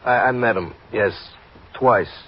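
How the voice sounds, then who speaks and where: An actor playing a distraught, stubbornly loyal middle-aged husband in an old-time radio drama, pleading and insistent. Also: male voice, lowish voice, a little slow, close to an everyday voice. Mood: pleading, subdued. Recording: dull, hissy old radio recording.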